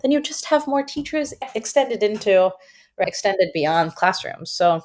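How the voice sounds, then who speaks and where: A woman educator, a native Farsi speaker, talking through her ideas in a conversational interview, thoughtful and emphatic, slowing to stress key words. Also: mid-range voice, animated, darkish tone. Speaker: guest educator and author.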